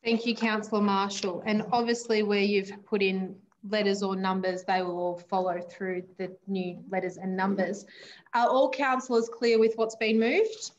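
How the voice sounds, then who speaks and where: A woman with an Australian accent chairing a formal council meeting over video call, brisk and procedural with polite thanks. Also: medium pitch, steady tone. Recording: dull video call audio with faint noise.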